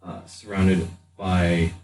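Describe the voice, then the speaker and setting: A deep-voiced man narrates a tabletop game as game master. He talks slowly and haltingly, pausing mid-sentence. The recording is roomy, with faint noise. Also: little pitch movement, darkish tone, distant mic.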